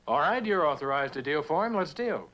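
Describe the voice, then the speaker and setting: An actor playing a proud, bitter prospector, blunt and insistent, in a mid-century television drama. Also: male voice, fairly high pitch, animated, fairly quick, fairly bright tone, muffled recording, slight background noise. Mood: businesslike. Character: proud, bitter mineral prospector, a man hard bargainer.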